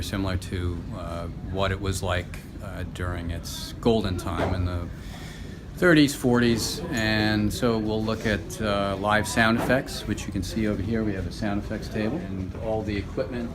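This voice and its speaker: A very noisy room surrounds this middle-aged man's voice. It sits deep and low. He explains his program as an interviewee, hesitantly, with frequent pauses as he goes. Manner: hesitant, halting phrasing with many pauses. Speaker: radio theater teacher and actor being interviewed. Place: room at a senior center.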